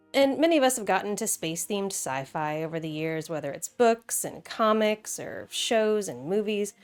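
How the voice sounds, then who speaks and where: An American woman hosting a video essay, talking in a conversational, playful way with casual asides. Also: on the low side.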